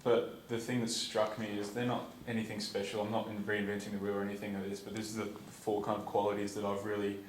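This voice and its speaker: A man's voice: on the low side, monotone, fast, few pauses. Young Australian man talking casually in an echoey hall. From Perth, Western Australia. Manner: casual, rambling, many ums and restarts. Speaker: student speaker at a conference. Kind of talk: informal talk to a lecture audience. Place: lecture theatre. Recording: echoey hall, distant, noisy.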